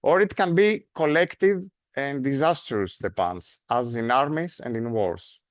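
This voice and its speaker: A man's voice: mid-range voice, lively intonation, dry recording. Greek-accented man giving a seminar lecture. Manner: measured, with frequent "uh" fillers and rhetorical "no?". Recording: dry, dull lo-fi sound.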